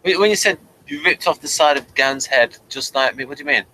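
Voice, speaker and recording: A man's voice: a little high, fairly quick, bright, forceful tone, slight background noise, poor audio. A man hosting a review show in casual chat, earnest and literal-minded.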